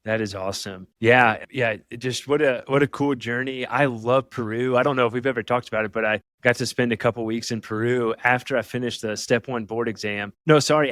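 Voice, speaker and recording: A man's voice: mid-range voice, fairly bright tone, no echo. A man hosting an interview podcast in a casual, upbeat and effusive way. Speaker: podcast host. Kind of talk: enthusiastic interview chat.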